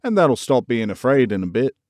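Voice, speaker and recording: A man's voice: medium pitch, sing-song, a little fast, darkish tone, no echo, studio quality, lightly acted. A man reading the part of a cheerful country boy with a Yorkshire accent, speaking stoutly and reassuringly.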